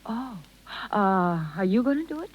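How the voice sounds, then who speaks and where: A plain-spoken, proud young show girl of 1930s radio drama, played by an actress. She talks in breezy slang with a very animated pitch. The sound is muffled, vintage and lo-fi. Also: normal speed, natural voice. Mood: advising, curious. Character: brash, slangy young circus rider woman, injured and proud. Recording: dull, lo-fi 1930s radio recording.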